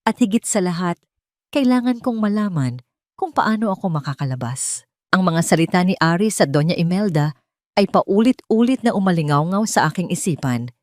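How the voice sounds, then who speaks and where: A woman with a Filipino accent narrating a dramatic first-person story in an expressive, scripted storytelling manner. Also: on the low side, normal speed, no echo, clean studio sound.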